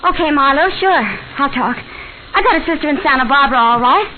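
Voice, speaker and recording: A shrill-edged, high-voiced noir dame, brassy and defiant. The lines come snappy and sarcastic, in 1940s radio drama style. The recording is old and muffled. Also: female voice, animated, a little fast, noisy background. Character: brassy, defiant female manicurist with shady dealings.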